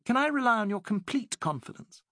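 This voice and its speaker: A man voicing a brisk, crisp British lady spy. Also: extremely high pitch, lively intonation, fairly bright tone, no echo, studio quality, pitched above the performer's own voice. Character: brisk poised British woman, secret agent.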